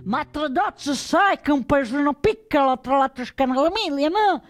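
Casting: actress as chatty, exclamatory villager for a radio play, high and swooping delivery, emphatic. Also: female voice, animated, moderate pace, faint music behind. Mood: excited, chatty. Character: excitable talkative villager recalling wartime events. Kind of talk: theatrical monologue in colloquial speech.

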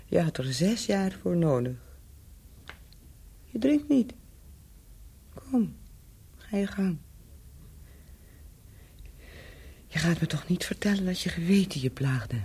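A woman's voice: on the low side, very animated, a little slow, long pauses, mellow, husky, full character voice. A woman acting a worldly, mocking hotel owner in a radio play, teasing and sardonic in a theatrical way.

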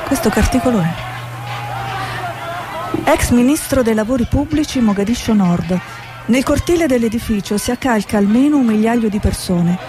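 An actress playing an earnest, idealistic female war reporter, speaking in a measured, reflective, theatrical way. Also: fairly high pitch, expressive, music in the background, lightly acted. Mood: reporting. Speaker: stage actor. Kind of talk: stage drama, dialogue and reflective monologue.

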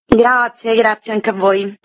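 A woman giving articulate, measured, formally worded explanations as an interviewed organiser. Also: a little high, steady tone. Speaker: festival organiser being interviewed. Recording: dry but muffled lo-fi audio.

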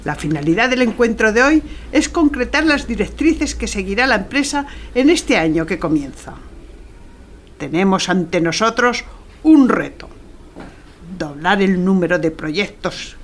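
An actress playing a brisk, bossy woman executive producer in radio theatre, speaking with cutting, emphatic authority. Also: mid-range voice, big pitch swings, slight background noise. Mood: businesslike, commanding.

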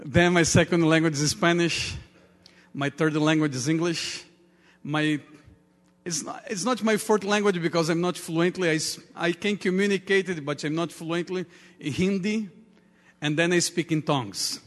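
High-voiced Portuguese-accented male preacher. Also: steady tone. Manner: earnest, halting non-native English with repetitions. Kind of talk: sermon. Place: large reverberant church room. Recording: reverberant hall with long echo.

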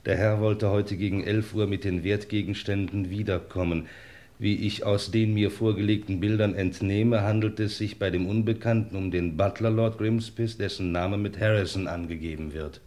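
A man acting a dutiful police inspector in an old crime radio play. His voice is fairly low, and he reads formally in a dry, matter-of-fact, official tone. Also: steady tone, moderate pace, darkish tone, close to an everyday voice. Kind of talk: formal read-out in vintage German radio play.

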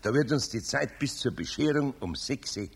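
An actor playing a kindly, patient older master carpenter with a Bavarian accent and a husky, gravelly voice in a children's radio play. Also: male voice, medium pitch, lively intonation, moderate pace. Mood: warm, gentle.

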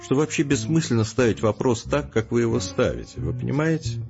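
A man's voice: medium pitch, very animated, a little fast, vocal fry, highs cut off, music in the background. A man playing a self-assured, coolly logical intellectual in an audio drama, lecturing formally and emphatically. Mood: firm, sober. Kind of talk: scripted audio drama dialogue.

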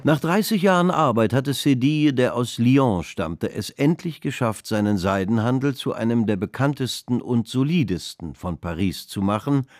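A man narrates a literary radio play in a deliberate, expressive way. His pitch moves freely, and music plays clearly behind him.

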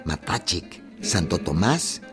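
A man's voice: deep voice, sing-song, slow, over music. A man narrating a historical radio drama in a scripted, dramatic style.

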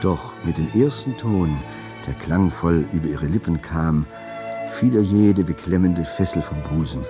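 A man's voice: sits low, measured pace. A man playing a composed literary narrator in a radio drama, telling the story in formal prose. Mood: composed, lyrical. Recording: dull sound under clear music. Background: music.

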